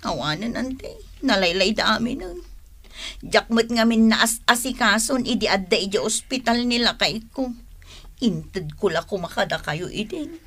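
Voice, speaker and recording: A woman's voice: medium pitch, expressive, unhurried, fairly bright tone. An actress playing a debt-burdened married woman in an Ilocano radio drama, with a plaintive, sighing delivery and an Ilocano accent. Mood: resigned. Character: distressed married woman burdened by debts, remorseful wife.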